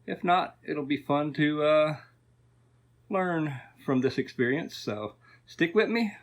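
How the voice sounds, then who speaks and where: A man's voice: a little high, lively intonation, takes it slowly. A man hosting a casual repair video, talking informally and thinking aloud as he works. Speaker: host of an electronics repair video. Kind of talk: casual workbench vlog narration.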